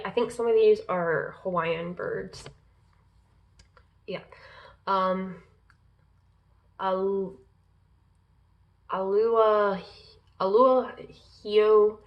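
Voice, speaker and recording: A woman vlogger reading a long list aloud, pausing often with ums and uhs and stumbling over unfamiliar names. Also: mid-range voice, fairly bright tone. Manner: slow, careful reading pace. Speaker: pagan lifestyle vlogger. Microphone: laptop in front of her.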